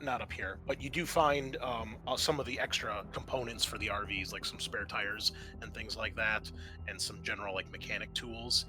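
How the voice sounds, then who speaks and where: Bright-voiced man running a tabletop game. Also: medium pitch. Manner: halting, conversational. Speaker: game master. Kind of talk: improvised tabletop game narration. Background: background music.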